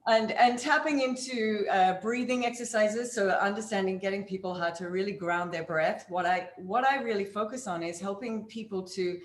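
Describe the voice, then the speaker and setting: A woman voice coach talks through her methods in a relaxed interview. Her delivery is expressive, and she swings between high and low tones to demonstrate. The recording carries noticeable room echo. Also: normal speed, hardly any pauses. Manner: expressive, demonstrates pitch swings while explaining. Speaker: voice coach guest. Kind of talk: casual interview chat.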